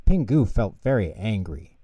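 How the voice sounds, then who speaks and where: A man narrating children's bedtime stories in an expressive storytelling style. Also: lowish voice, normal speed, slightly rough, no echo. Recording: dull, lo-fi close recording.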